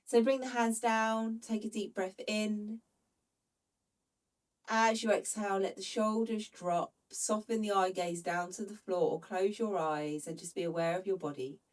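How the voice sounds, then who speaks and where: Woman talking you through pilates, bit bunged up from hay fever, calm and gentle, sounds a little far from the mic. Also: mid-range voice, a little slow. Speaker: Pilates instructor. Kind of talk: guided follow-along workout instruction.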